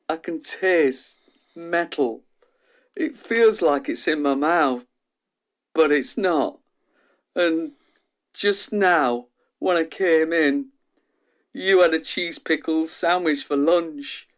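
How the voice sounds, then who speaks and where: Man acting a slow, tormented test subject. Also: a little high. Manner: halting, intense. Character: anxious male test subject, tormented and overwhelmed. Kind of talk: radio drama acting.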